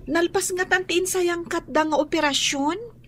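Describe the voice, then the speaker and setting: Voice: very high voice, measured pace. A performer playing a small, anxious grandchild in a radio drama. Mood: anxious, pleading. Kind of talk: Ilocano radio drama acting. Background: loud music.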